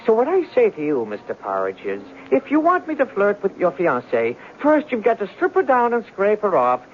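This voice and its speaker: An actor playing a stubborn, excitable man in a 1970s radio drama, speaking with indignation. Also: sits high, fairly quick. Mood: stubborn, defensive. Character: stubborn, hot-headed Irish everyman in a scrape. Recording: muffled, hissy 1970s radio recording.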